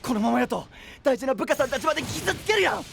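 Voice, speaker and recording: An actor playing a kind-hearted, earnest boyish young knight with a Kyushu dialect in an anime-style audio drama. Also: male voice, very high voice, expressive, quick pace, gravelly, a bit bright, slight background noise, heavily acted. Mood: pleading. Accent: Kyushu Japanese dialect.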